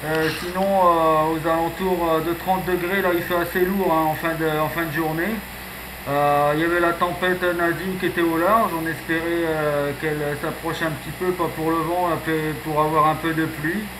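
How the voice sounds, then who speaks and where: High-voiced man, radio operator, casual jargon-filled chat, echoey sound, clear background noise. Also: little pitch movement, normal speed. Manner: frequent verbal tags and radio jargon. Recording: echoey, noisy shortwave radio contact recording.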